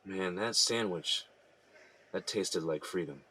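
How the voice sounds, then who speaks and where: Guy playing earnest tired inmate, low voice w a crisp bright edge, reflective, audio drama. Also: slow, a little hiss or hum, heavily acted. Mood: wistful. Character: earnest, weary male defendant held in jail.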